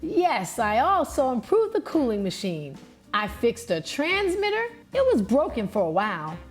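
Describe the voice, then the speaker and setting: A woman's voice: fairly high pitch, huge pitch swings, moderate pace, soft background music. A woman voicing a friendly, helpful male inventor from history in a storybook, welcoming and explanatory. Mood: modest, helpful.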